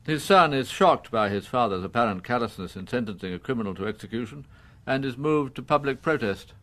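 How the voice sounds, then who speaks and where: A proud old English judge played by a male actor in a radio play. He is coldly courteous and disdainful, setting out each phrase in formal, measured legal language, at a middling pitch. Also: animated, a bit bright, lightly acted. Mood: coolly judicial. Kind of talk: radio drama acting.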